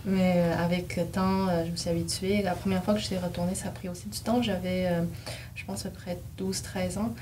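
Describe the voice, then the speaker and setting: Woman, slightly low pitch, hesitant, searching delivery, personal storytelling, noisy background. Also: flat delivery, darkish tone. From China, immigrated to Canada at seven. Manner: halting, hesitant, searching for words. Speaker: dialogue participant. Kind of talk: personal account in a group discussion. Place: group gathering, filmed discussion. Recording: distant mic, clear background noise.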